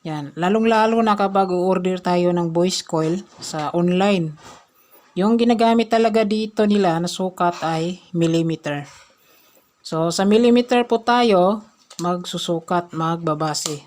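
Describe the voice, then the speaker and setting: A woman presenting an informal hands-on repair tutorial, halting and punctuating steps with short filler phrases. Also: lowish voice, a little mellow.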